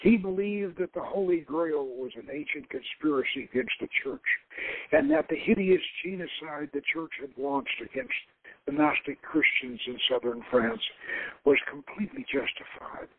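A man's voice: on the high side, expressive, normal speed, slightly rough, no room sound. Man reading as earnest young woman, tinny line. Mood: earnest, critical. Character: young Lebanese woman recounting her life, intellectual, romantic. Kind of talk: dramatic reading of an adventure novel. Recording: narrow, tinny phone-like radio line.

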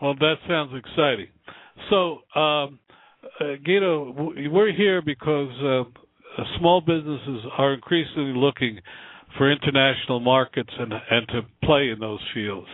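A man's voice: mid-range voice, unhurried, no echo. A man hosting a small business radio show, reading a formal intro and interviewing with many fillers. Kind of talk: scripted intro then interview. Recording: phone-like line.

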